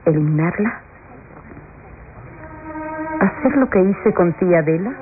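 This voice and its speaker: An actress playing a desperate, murderous niece, talking to herself in melodramatic classic radio soap style. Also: female voice, on the low side, expressive, a little slow. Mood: desperate, menacing. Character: scheming, desperate murderous niece plotting to silence her aunt. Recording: tinny old radio recording, music behind.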